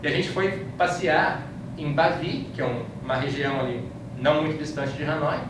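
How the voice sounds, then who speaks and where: A man's voice: on the high side, moderate pace. A man from Brazil with a Brazilian Portuguese accent gives an informal slide-show talk in a conversational, anecdotal way, often adding little checking tags. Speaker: speaker giving a talk about his trip. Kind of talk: informal slide-show lecture, recounting travels. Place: indoor room, live talk to an audience. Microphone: far from the speaker. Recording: echoey room, distant mic, clear background noise.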